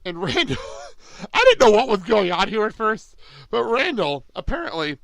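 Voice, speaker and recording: A man's voice: high voice, sing-song, moderate pace, creaky, fairly bright tone, dry recording. A male podcast co-host in a casual recap chat, giggly, often breaking into laughter and swearing.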